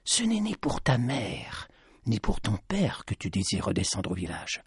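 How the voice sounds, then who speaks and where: A man voicing a mysterious mountain woman in a low, hushed, grave voice while reading his storybook aloud. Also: huge pitch swings, a little slow, breathy edge, no room sound, clean studio sound, heavily acted.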